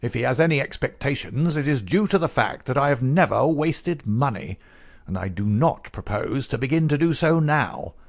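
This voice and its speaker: A man reading aloud, voicing a querulous, miserly old male lord with a sharp crackling voice and an indignant, shrill manner. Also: expressive, vocal fry. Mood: scolding. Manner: shrill, indignant, rapid-fire.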